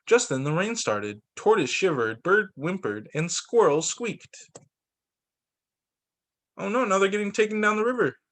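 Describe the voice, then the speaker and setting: Male primary teacher, lightly high voice, animated picture-book read-aloud with spontaneous asides. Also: a little slow. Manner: expressive, comments aloud on the pictures. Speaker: teacher reading aloud to young students.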